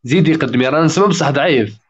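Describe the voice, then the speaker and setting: A man's voice: fairly high pitch, husky. A man, hosting a casual live call-in stream and guiding callers on their mics. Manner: fast, coaching callers on their mic. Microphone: video call. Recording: dull lo-fi online call audio.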